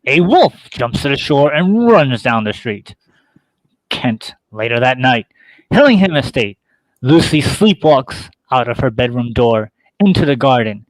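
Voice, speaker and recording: A man's voice: mid-range voice, very animated, measured pace, no echo, poor audio. A man narrating a horror play, reading stage directions in a terse, theatrical way.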